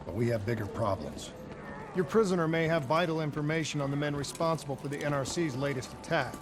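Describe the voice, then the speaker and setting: An actor playing a level-headed, diplomatic soldier on a covert team, plain and measured, in a video game. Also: male voice, a little high, animated, background noise, close to an everyday voice. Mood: measured, serious. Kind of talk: video game cutscene acting.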